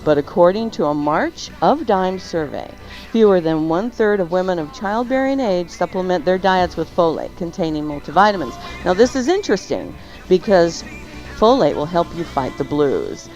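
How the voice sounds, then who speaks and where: A woman presents health items as a radio-style show host. Her voice sits low but moves up and down a great deal, with a playful touch. The audio is dull and lo-fi, with faint music behind her. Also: very animated, moderate pace, a little mellow. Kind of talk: reading health news and sponsor spots on a talk show.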